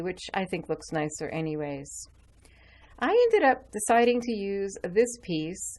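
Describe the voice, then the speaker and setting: Lower-voiced woman explaining a craft. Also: a little mellow. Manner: chatty, explains while working with her hands. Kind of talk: relaxed step-by-step craft demonstration.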